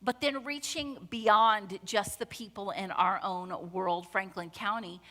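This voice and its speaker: Bright-voiced woman preaching in a reverberant hall. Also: medium pitch, steady tone, unhurried. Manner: emphatic, conversational preaching. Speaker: pastor. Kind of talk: sermon. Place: church sanctuary. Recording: long church hall reverb.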